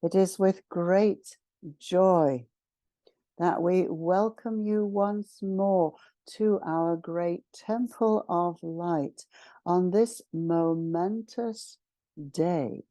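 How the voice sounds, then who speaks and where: A woman guides a spiritual meditation at an extremely unhurried pace, leaving long pauses between short phrases. Her voice is a little low and mellow, with a solemn, ceremonial delivery. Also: no echo. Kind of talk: guided meditation, spiritual invocation and channelled message.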